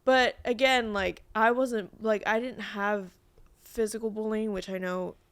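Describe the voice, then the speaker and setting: A woman's voice: medium pitch, fairly even intonation, unhurried, clean tone, dry recording. A young woman whose first language was Vietnamese, chatting casually as a podcast guest with lots of fillers. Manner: chatty, many fillers and restarts. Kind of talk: casual chat.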